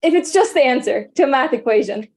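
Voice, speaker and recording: A woman with a high voice talks through an idea in a group discussion. She reasons reflectively and often turns back to her listeners to check they are following. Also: expressive, fast, darkish tone. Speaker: study circle participant.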